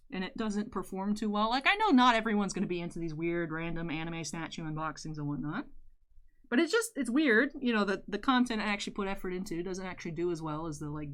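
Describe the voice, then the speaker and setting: A young woman with a deeper voice, chatting candidly while doing her makeup for a vlog. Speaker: YouTuber. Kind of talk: casual makeup tutorial chat.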